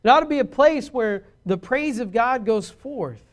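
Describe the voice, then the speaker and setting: A pastor preaching a sermon, shifting from quiet confiding asides to loud emphatic declarations. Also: male voice, extremely high pitch, expressive, normal speed.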